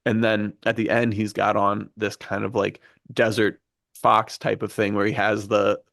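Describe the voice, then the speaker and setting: A man's voice: on the low side, level tone, normal speed, creaky, a bit bright, dry recording, studio quality. A man hosting a podcast, talking about films in a casual, enthusiastic, slangy way. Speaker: podcast host. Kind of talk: casual film discussion.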